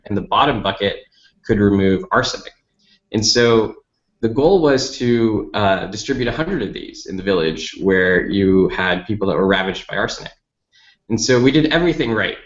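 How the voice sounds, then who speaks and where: A man's voice: fairly low pitch, fairly bright tone. An American man from Dallas, a company founder, giving articulate, methodical explanations as an interview guest. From Dallas, United States. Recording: roomy, slightly dull lo-fi audio.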